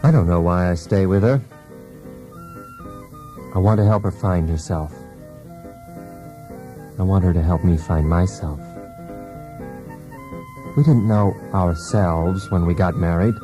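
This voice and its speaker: An actor playing a wounded, brooding husband in a poetic radio drama, speaking in a deliberate, intense, theatrical way. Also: male voice, low-pitched. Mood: bewildered, anguished. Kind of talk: poetic monologue in a radio play. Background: music.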